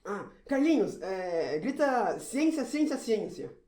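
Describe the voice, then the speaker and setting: Roomy sound, whiny boy acted by a woman, animated, soft-edged tone, stammering, panicky, audio drama. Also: mid-range voice, takes it slowly. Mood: exasperated. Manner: stammering, exasperated. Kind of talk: audio drama stage acting.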